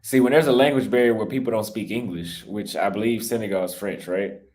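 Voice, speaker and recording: A young American man with a slightly low voice, talking off the cuff on a livestream about his travels. He rambles, restarts his sentences and gets defensive, and his voice sounds a little cracked and worn. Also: a little fast, darkish tone. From United States. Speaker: travel YouTuber live-streaming. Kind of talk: casual livestream talk reading super chats.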